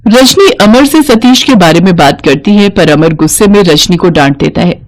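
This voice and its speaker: A woman's voice: on the low side, animated, moderate pace. A woman narrating a scripted moral story as a storyteller.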